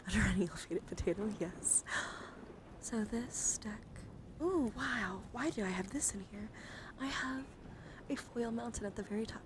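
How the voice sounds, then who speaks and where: A woman livestreamer doing playful ASMR, drifting between whispers and soft-spoken casual chat as she coaxes and addresses her viewers directly. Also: mid-range voice, background noise. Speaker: livestreamer, VTuber.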